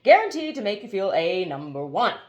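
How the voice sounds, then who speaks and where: A woman's voice: mid-range voice, sing-song, fairly quick, highs cut off, lightly acted. A woman voicing a fussy, bossy male water genie with an urgent, coaxing, chatty way of talking in a storybook reading.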